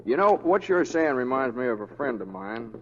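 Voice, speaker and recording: An actor playing a mild, unflappable young deputy in a radio Western, speaking in a folksy, hesitant drawl. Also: male voice, mid-range voice, big pitch swings, moderate pace, slight background noise, natural voice. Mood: easygoing. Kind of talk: 1930s-40s radio acting. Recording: muffled old radio broadcast.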